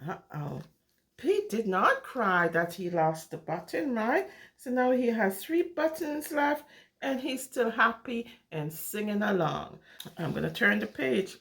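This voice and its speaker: A woman reads a picture book to young children in a high voice. She takes her time and speaks at an unhurried pace. Her delivery is singsong and exclamatory, swinging widely in pitch as she puts questions to her little listeners. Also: expressive, gravelly, a bit bright. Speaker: children's storyteller reading a picture book aloud. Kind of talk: animated interactive read-aloud for young children.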